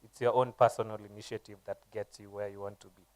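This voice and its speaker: A man speaking on a conference panel in a smooth, clear voice that stays even and flat in pitch. The sound is dry and close, and his advice is encouraging and steady. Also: fast talker, no echo, rough recording.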